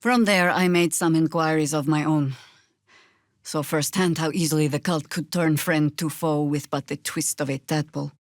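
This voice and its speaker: A woman playing a weathered, stern and wry old druid warrior in a video game, with a measured, dry, commanding delivery. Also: low voice, steady tone, no echo, close to an everyday voice. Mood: grave, measured. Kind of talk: dramatic video game voice acting.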